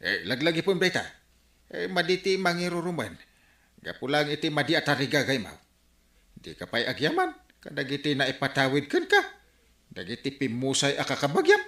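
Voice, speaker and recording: A man playing an exasperated, scolding village captain in an Ilocano radio comedy drama, animated and full of reproachful exclamations. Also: on the high side, moderate pace, echoey room, full character voice.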